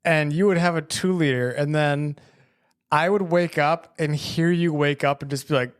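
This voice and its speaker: A man's voice: fairly high pitch, steady tone, moderate pace. A young man with an American accent, talking casually as a podcast co-host with a friend. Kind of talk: casual chat.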